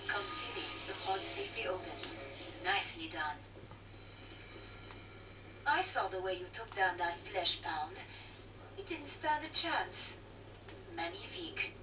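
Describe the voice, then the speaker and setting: A woman's voice: mid-range voice, animated, unhurried, long pauses, music in the background, natural voice. An actress playing a poised, teasing female arms trader in a video game. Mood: teasing, approving. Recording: distant, echoey, noisy television speaker capture.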